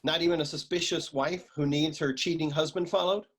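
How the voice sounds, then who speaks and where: A man playing a cynical, down-on-his-luck private eye in a humorous noir radio play, world-weary and grumbling, with a husky voice. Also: fairly high pitch, fairly even intonation, a little fast, a bit bright. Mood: deadpan grumbling.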